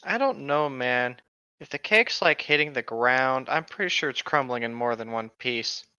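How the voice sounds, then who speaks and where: A man's voice: mid-range voice, animated, moderate pace, sharp tone, clean tone, no room sound, lo-fi sound. A young man bantering casually with friends and reading a story aloud, rambling and joking as a co-host.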